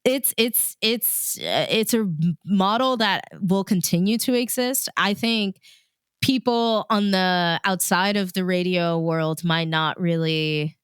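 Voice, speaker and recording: A woman's voice: mid-range voice, slow pace, clean tone, dry recording, clean studio sound. A woman radio DJ chatting as an interview guest, informal and enthusiastic, with plenty of "like" and "you know". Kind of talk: casual conversational interview.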